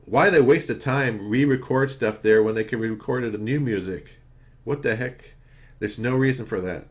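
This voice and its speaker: A man's voice: on the low side, dull audio. A man co-hosting a casual podcast chat, rambling and restarting his sentences. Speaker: podcast co-host.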